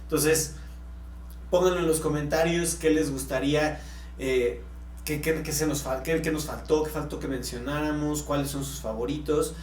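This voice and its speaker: A man's voice: on the high side, steady tone, moderate pace. A man with a Mexican accent co-hosting a podcast in a casual, conversational way. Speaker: podcast co-host. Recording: echoey room, faint background hum.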